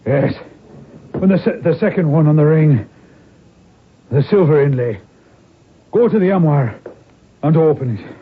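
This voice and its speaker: An actor playing a wounded, middle-aged Irish rebel lord on his deathbed in a radio drama, weary and halting, with an Irish accent. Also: on the high side, animated, slightly rough. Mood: urgent. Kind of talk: old-time radio drama acting. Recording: muffled, narrow-band vintage radio recording.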